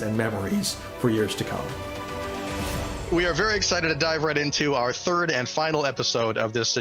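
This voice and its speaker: A man hosting a tabletop game as game master, with deliberate, dramatic storyteller phrasing. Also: mid-range voice, fairly bright tone. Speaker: game master, host and game developer. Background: music.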